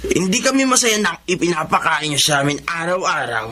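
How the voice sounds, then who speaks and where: An actor playing a resentful, defiant young adult son in a Filipino radio drama. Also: male voice, fairly high pitch, very animated, fairly quick, pushed and bright, exaggerated voice. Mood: accusing.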